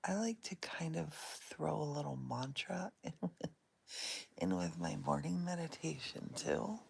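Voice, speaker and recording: A middle-aged woman talking softly and unhurriedly from her bed, recorded on a phone she holds in one hand. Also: low-pitched, lively intonation, gravelly, breathy edge. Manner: soft and whispery, unhurried. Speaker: vlogger. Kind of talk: casual guided meditation vlog. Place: in bed at home. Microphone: phone held in one hand.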